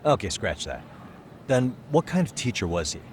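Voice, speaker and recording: A man's voice: fairly low pitch, sing-song, normal speed, a little hiss or hum. A man playing an easygoing, wry private detective in a video game, speaking in a casual, dry way. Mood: friendly, probing. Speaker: detective character. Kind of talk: English game voice acting. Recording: loud music behind the voice. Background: background music.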